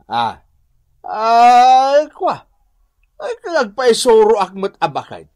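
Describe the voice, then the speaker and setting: An actor with an Ilocano accent playing a sly, boastful philandering husband in a radio drama, wheedling and swaggering. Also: male voice, very high-pitched, huge pitch swings, slow pace, darkish tone, exaggerated voice. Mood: wheedling, scheming.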